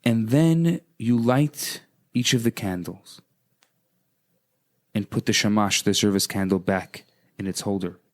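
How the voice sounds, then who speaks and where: Guy rabbi co-hosting radio, lowish voice, explaining stuff, bit of music. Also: measured pace.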